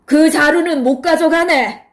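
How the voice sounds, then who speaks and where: A woman's voice: high voice, unhurried, pitched above the performer's own voice. A woman playing an upright male scholar in exile, firm and defiant, in a children's storybook. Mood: indignant. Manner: firm, stern.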